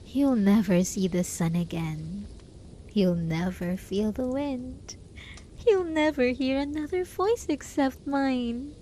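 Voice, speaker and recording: A woman playing a lovesick, possessive, desperate hermit spellcaster in a soft, intimate ASMR roleplay, often slipping into whispers. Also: medium pitch, a little hiss or hum, close to an everyday voice. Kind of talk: ASMR roleplay, scripted dramatic monologue.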